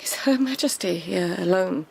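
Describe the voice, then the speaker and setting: An actress playing an anxious, deferential court lady in a radio drama, speaking formally and earnestly, sometimes hushed. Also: on the low side, animated, moderate pace, gravelly, breathy edge, heavily acted, rough recording. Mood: deferential, uneasy.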